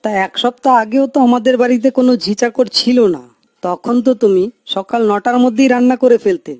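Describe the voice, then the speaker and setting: A man's voice: very high voice, fairly quick, a little mellow, dry recording, clean studio sound. A man voicing a dutiful, concerned grown son and husband in a cartoon, earnest and chiding. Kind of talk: cartoon moral story voice acting.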